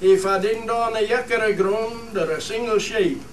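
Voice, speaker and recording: A man's voice: high voice, a little slow. A man playing a proud, plain-spoken fell shepherd in a dialect radio play, speaking earnestly with a Cumbrian accent, as if making a speech. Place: studio theatre. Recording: roomy stage, distant mic, faint noise.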